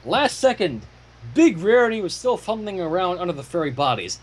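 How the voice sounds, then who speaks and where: Animated higher-pitched man reading a story aloud. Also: a little fast. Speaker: fan fiction reader. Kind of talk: expressive story narration with casual asides.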